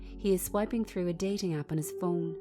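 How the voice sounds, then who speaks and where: A woman's voice: lowish voice, a little fast, over music. A woman narrating a script, reading out stage directions in short present-tense sentences.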